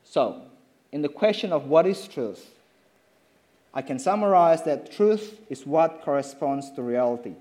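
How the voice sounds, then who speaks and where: Male preacher, slightly high, mellow tone, halting delivery. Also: expressive. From Indonesia. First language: Indonesian. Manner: halting, with many fillers and restarts. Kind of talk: sermon with slides.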